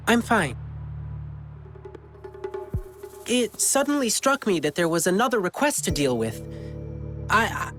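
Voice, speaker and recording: An actor playing an earnest, eager, helpful young male lion dancer in a video game, speaking politely. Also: sits high. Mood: flustered. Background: music behind the voice.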